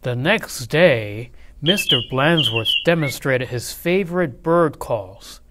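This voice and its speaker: Guy reading a kids story out loud, super animated and unhurried, dramatic. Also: mid-range voice, very noisy. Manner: measured, dramatic delivery. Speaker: read-aloud storyteller. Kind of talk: expressive children's picture-book narration.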